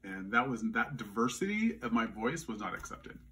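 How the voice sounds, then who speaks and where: Man, Louisiana-raised, no accent; measured, sincere interviewee, slightly distant mic. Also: mid-range voice, very animated, bright, forceful tone, a little hiss or hum. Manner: earnest, measured, with frequent restarts. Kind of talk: reflective interview answers.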